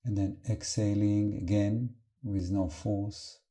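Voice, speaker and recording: A man leading an unscripted guided meditation as a teacher, calm and gentle, with halting phrases and long pauses. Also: on the low side.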